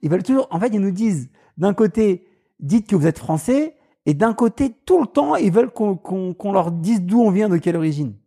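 A man with a Paris suburban accent tells anecdotes in an easygoing chat. His voice is mellow and dark. He acts out other people's lines and laughs along as he goes. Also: sits high, a little fast, slightly rough. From Paris suburbs, France. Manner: animated, mimics voices in anecdotes, laughs often. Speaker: guest. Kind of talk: casual storytelling.

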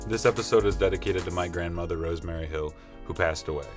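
Guy host reading scripted show credits, kinda low voice, music behind him. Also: quick pace. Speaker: host of audio comedy show. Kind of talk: scripted credits and mock sponsor reads.

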